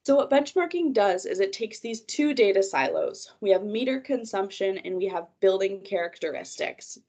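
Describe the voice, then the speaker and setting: A woman presents a webinar over a video call, her voice congested from a cold. She keeps an organized manner as she guides listeners through her slides, at a middle pitch. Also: lively intonation, normal speed, a little mellow. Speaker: project manager presenting a webinar.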